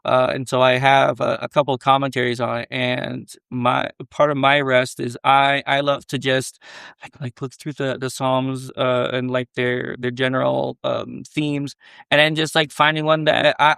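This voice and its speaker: A man's voice: mid-range voice, flat delivery, normal speed, gravelly, a bit bright, smooth, no echo, clean studio sound. A young man sharing personal advice on a casual faith podcast, hesitant and full of fillers. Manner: hesitant, lots of fillers and likes.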